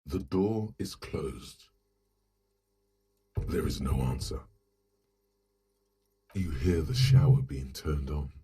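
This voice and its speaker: A man playing an ominous, wry inner voice, narrating a video game in a solemn, deliberate, literary manner. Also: very deep voice, mellow, breathy edge, full character voice. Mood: bleak, ominous. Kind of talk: scripted second-person game narration.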